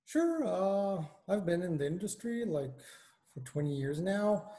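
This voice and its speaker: Israeli guy in his 40s on a video call, lots of uh and restarts, voice a bit on the high side. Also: big pitch swings, a little slow, mellow. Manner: hesitant, many fillers and restarts. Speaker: guest, engineering manager. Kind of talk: casual video-call interview.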